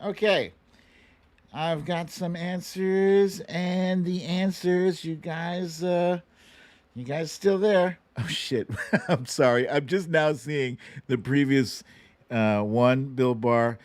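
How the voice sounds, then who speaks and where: A man's voice: a little high, steady tone, takes it slowly, darkish tone, dry recording. A man hosting a casual livestream quiz, chatty and playful as he banters and calls out to viewers. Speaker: host of a live online show.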